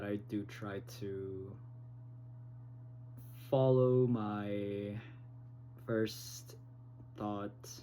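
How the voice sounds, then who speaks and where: A man talking to his phone camera in a casual vlog, halting and hesitant, with many pauses. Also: on the low side, dark tone, slight background noise. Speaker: vlogger. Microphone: phone recording.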